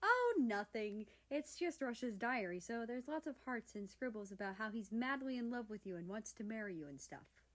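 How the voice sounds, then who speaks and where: A woman's voice: on the high side, lively intonation, normal speed, hardly any pauses, natural voice. A woman voicing a smug female feathered guardian in a storybook, teasing others in a gleeful, mocking manner.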